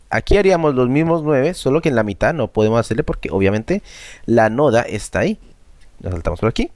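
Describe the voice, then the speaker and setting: A man's voice: medium pitch, darkish tone, no room sound. Chatty Colombian man narrating gameplay. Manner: chatty, joking, addresses viewers as buddies. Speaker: gaming YouTuber. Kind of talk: casual gameplay commentary.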